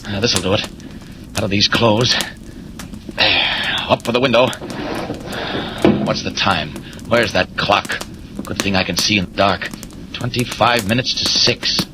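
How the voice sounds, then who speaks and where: An actor playing a brave young reporter who is secretly a superhero, brisk, resolute and urgent, in 1940s radio serial style. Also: male voice, fairly low pitch, lightly acted. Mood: urgent resolve. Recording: very noisy old radio recording.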